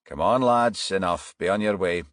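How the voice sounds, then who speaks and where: A man's voice: low voice, normal speed, fairly bright tone, no room sound, studio quality. A man playing a firm, unflappable police detective inspector in a crime audiobook.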